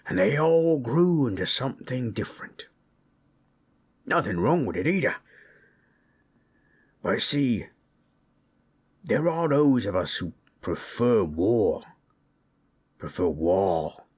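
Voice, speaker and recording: A man playing a big, gentle male orc, a former war boss, in an intimate, soothing audio roleplay with folksy, reassuring speech. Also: mid-range voice, slightly rough. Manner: folksy, nonstandard grammar, reassuring murmur.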